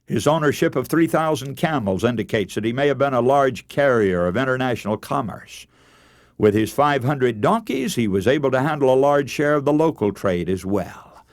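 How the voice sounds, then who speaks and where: Guy preaching on the radio, telling bible stories dramatically, really lively pitch, dry close sound. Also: dry recording. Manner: expressive, formal, measured oratory with quoted dialogue.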